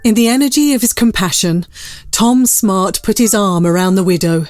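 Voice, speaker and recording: A woman's voice: mid-range voice, normal speed, a little mellow, dry recording, soft background music. A woman narrating a classic period story in a scripted, dramatic style.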